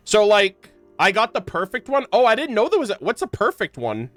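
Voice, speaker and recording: A man's voice: high-pitched, huge pitch swings, a little fast, a bit bright, no room sound. A man giving casual, slangy live game-stream commentary and chatting to his viewers. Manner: slangy, informal. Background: game music.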